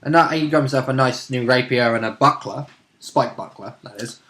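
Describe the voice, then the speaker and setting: A man's voice: mid-range voice, normal speed, a bit bright. A man chatting casually as a tabletop player, explaining and correcting details as he goes. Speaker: tabletop role-playing player. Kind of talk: casual chat.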